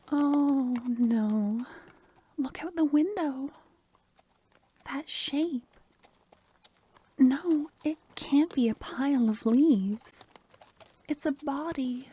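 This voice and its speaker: A woman playing a sweet, caring court necromancer in a softly spoken, half-whispered ASMR fantasy roleplay, talking intimately and affectionately to the listener. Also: fairly high pitch. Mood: worried, distressed. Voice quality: soft, breathy, half-whispered. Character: sweet, caring young female court necromancer, doting and anxious.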